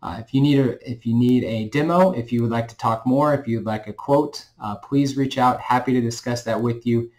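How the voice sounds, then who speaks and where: A middle-aged man with a medium-pitched voice talks through a product for his company. He speaks in a plain, conversational sales style, recorded at a computer in a small indoor room. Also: moderate pace, few pauses, a little mellow. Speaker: company representative presenting a product. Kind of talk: informal product explainer to camera.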